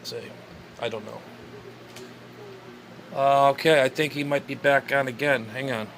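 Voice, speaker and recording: Hesitant ham radio operator rambling. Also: male voice, mid-range voice, fairly even intonation, a little slow, fairly bright tone, slight background noise. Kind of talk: casual vlog commentary.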